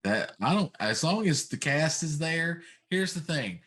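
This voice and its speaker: A man's voice: on the high side, big pitch swings, unhurried, a bit bright. A middle-aged man chatting casually and giving his opinions as a talk show host.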